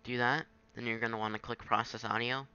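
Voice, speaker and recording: A man giving an informal, halting software tutorial, thinking aloud as he goes. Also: medium pitch, fairly even intonation, clear, resonant tone, highs cut off. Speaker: tutorial presenter. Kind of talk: casual screen-capture software tutorial.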